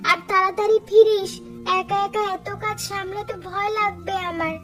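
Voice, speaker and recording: Very high-pitched young cartoon girl voiced by an actor. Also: fairly even intonation, normal speed, over loud music. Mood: teasing, bossy. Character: young village girl, chatty, teasing her older brother. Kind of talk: Bengali cartoon story dialogue.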